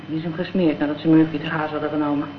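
A woman's voice: sits low, flat delivery, racing, close to an everyday voice. An actress playing a gangster's tough, vengeful girlfriend in a radio drama, talking in blunt, scornful slang. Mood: conspiratorial, scornful. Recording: dull, noisy old radio play recording.

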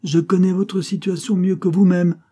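A man's voice: mid-range voice, animated, normal speed, breathy edge, voice pushed up. A man voicing a stern older aristocrat who scornfully accuses his nephew. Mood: stern accusing. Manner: forceful, indignant reproach.

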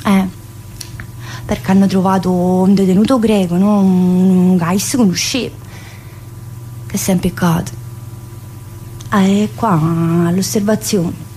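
A woman's voice: mid-range voice, fairly even intonation, measured pace, long pauses, dark tone, slight background noise, lightly acted. An actress playing a talkative, teasing, wounded woman prisoner in a theatrical monologue, with a southern Italian accent and a rambling, colloquial manner. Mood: confiding. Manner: rambling, colloquial, full of tag questions. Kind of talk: theatrical monologue in colloquial dialect-tinged speech.

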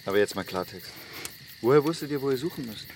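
An actor playing the self-assured young leader of a secretive commune in a radio drama, speaking firmly and deliberately. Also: male voice, medium pitch, animated, noisy background, close to an everyday voice. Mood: stern. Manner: deliberate, firm, commanding. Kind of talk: German radio drama, naturalistic acting.

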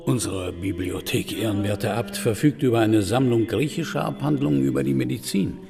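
An actor playing a learned medieval monk herbalist, speaking in a deliberate, teacherly way in a radio drama. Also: male voice, mid-range voice, gravelly, over music. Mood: instructive. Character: learned monk herbalist quizzing his pupil.

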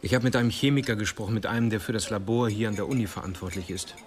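An actor playing a matter-of-fact police investigator in a German radio crime drama, reporting in a conversational way. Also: male voice, lowish voice, rapid-fire, crowd noise, natural voice.

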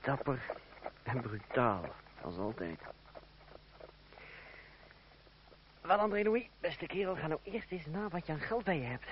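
An actor playing a bold, proud and ironic young fugitive in a radio play, with courtly, theatrical diction. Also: male voice, a little high, sing-song, moderate pace. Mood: musing to himself. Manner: courtly, wry, declamatory. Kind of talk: old Dutch radio drama acting, theatrical, formal diction. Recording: dull, noisy old radio play recording.

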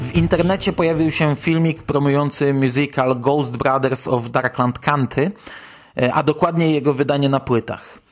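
A man's voice: medium pitch, moderate pace, faint music behind. A man hosting a podcast, presenting news with informal personal commentary. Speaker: podcast host.

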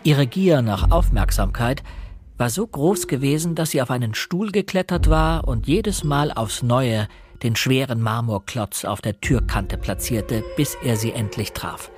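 An actor playing a reflective young man, narrating a radio crime drama in a measured, earnest way. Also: mid-range voice, lively intonation, music in the background, natural voice. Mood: rueful. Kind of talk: scripted first-person radio drama narration.

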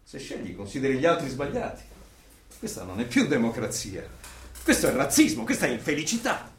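An actor playing a coldly doctrinaire interrogator and torturer in a theatrical radio play, lecturing in a declamatory, menacing way. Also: male voice, mid-range voice, wildly swooping pitch, brisk. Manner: declamatory, lecturing, menacing, with sudden loud bursts. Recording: roomy, distant miking with background noise.

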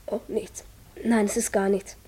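A woman's voice: medium pitch, level tone, measured pace, mellow, close to an everyday voice. A woman playing a shy, downcast young boy in a radio drama, speaking hesitantly and evasively. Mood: sad, subdued. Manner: hesitant, subdued, repeats himself.

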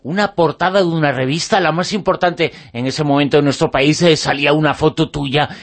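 A man's voice: a little high, steady tone, brisk. A male radio host interviewing guests, asking long questions broken by frequent hesitations.

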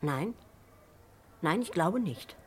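An actress playing an earnest, uneasy witness in a German radio crime drama, hesitant yet sure of herself. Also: female voice, on the low side, expressive, slow. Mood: puzzled, uneasy. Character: earnest, uneasy woman witness, a policeman's wife. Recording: faintly noisy vintage radio drama recording.

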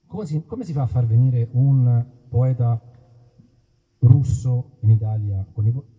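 Man, musician hosting outdoors, wry ironic patter, raspy voice, mid pitch. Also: a little slow, mellow. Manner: wry, mock-solemn, playfully self-interrupting. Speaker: musician hosting a live show. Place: outdoor festival in an olive grove. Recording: husky voice over a tinny, phone-like line.

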